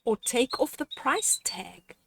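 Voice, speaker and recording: An older woman reading a children's story aloud in an expressive, gently instructive way, addressing the child listener directly with deliberate pauses. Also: fairly high pitch, gravelly, slight background noise.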